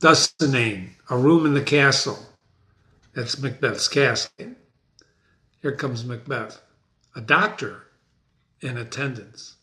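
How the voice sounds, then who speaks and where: A man hosting a play reading, giving informal explanatory asides in an animated, casual way. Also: medium pitch, takes it slowly, long pauses, reverberant. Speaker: host of a dramatic reading series.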